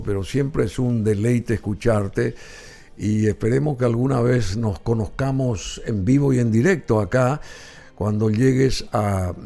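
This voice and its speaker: A man's voice: fairly low pitch, creaky, dark tone. A male radio host with a Paraguayan Spanish accent, interviewing a guest, effusive in his thanks and rambling with many fillers.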